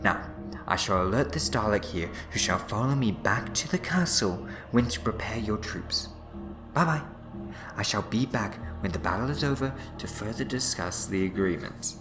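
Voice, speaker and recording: An actor playing a sly time-travelling schemer in a sci-fi fan audio drama, teasing and mock-polite. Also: male voice, fairly low pitch, animated, normal speed, lightly acted. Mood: brisk, smug. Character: sly, smug, meddling male alien time-traveller schemer. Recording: loud music behind the voice. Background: dramatic music.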